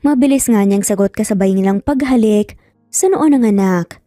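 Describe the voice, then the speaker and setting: A woman's voice: mid-range voice, moderate pace, mellow, dry recording, studio quality, faint music behind. A woman with a Filipino accent telling a story in scripted, dramatic narration.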